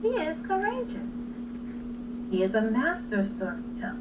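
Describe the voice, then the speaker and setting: Slow-paced woman reading a storybook, distant mic. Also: sits high, huge pitch swings. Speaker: storybook reader. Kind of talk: expressive children's read-aloud narration. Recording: distant mic with clear background noise.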